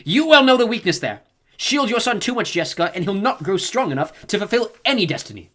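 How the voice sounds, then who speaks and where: A man's voice: fairly high pitch, expressive, fast talker, voice pushed up. A man voicing a stern, commanding old woman who scolds sharply in an audiobook reading. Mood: snapping. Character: stern imperious old woman, a reverend mother.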